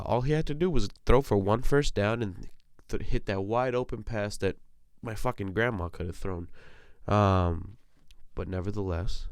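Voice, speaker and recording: A young man, his voice deep and smooth, talks alone into the mic about sports. He rants casually and swears freely, and he is getting over a cold. The sound is dry and close, from a small compact room. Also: dry recording. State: recovering from a cold. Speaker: podcast host. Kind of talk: solo sports talk.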